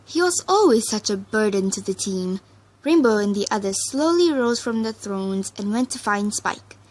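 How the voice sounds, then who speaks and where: A woman reading fan fiction aloud as an expressive storyteller. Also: mid-range voice, normal speed, low-quality recording.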